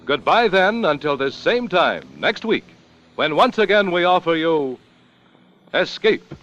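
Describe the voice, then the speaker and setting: A man's voice: on the high side, big pitch swings, a little slow, fairly bright tone. A man working as a radio announcer, reading scripted ads and credits in a polished, formal 1950s style. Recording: dull 1950 radio recording, music behind.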